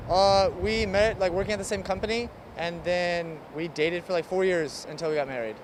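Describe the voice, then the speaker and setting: Higher-voiced American man chatting outdoors. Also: normal speed, low-quality recording. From United States. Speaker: interviewee. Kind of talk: casual chat, telling personal story. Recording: faint street traffic noise.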